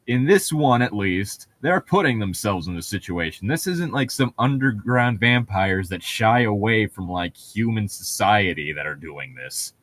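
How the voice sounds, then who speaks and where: A man's voice: on the low side, lively intonation, measured pace, hardly any pauses, a bit bright. A man co-hosting a read-along, moving between wry, opinionated casual banter and reading a novel aloud, with some swearing. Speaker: co-host of a book read-along show.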